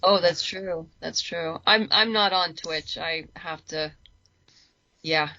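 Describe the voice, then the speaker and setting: A woman talking casually as an interview guest, chatty and halting, often restarting her sentences. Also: lowish voice, bright, forceful tone, dry recording, dull audio. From lives in Los Angeles. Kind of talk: casual conversational chat.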